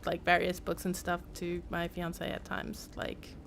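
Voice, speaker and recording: A woman's voice: fairly low pitch, monotone, unhurried, creaky, a little hiss or hum. A woman game streamer chatting casually with her live viewers.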